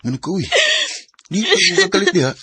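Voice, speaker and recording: Mapanalipdon nga batan-ong higala, buhi kaayo ang tono. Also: male voice, sits high, huge pitch swings, fast, bright, forceful tone, husky, heavily acted. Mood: concerned. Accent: Cebuano. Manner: emphatic, admonishing, colloquial. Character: protective young man, loyal scolding best friend. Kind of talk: Bisaya radio drama acting.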